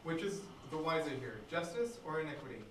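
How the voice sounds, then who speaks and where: A man's voice: fairly high pitch, big pitch swings, brisk. A man, a student, reading old stage dialogue aloud in a classroom, away from the microphone. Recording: echoey room, distant mic, faint noise.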